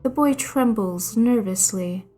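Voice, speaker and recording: A woman's voice: a little high, takes it slowly, dark tone, faint music behind. A woman reading a scripted story aloud as narrator. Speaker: fan fiction narrator.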